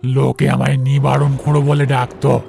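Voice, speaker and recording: A man playing an eerie, humble old village boatman ghost in an audio horror story, with a creaky, gravelly voice. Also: medium pitch, monotone, normal speed, darkish tone, a little hiss or hum. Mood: humble, cryptic.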